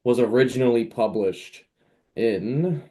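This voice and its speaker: A man's voice: medium pitch, measured pace, mellow. A man, an author appearing as a podcast guest, chatting casually and enthusiastically like a fan. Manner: enthusiastic, conversational fan talk. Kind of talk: casual interview chat.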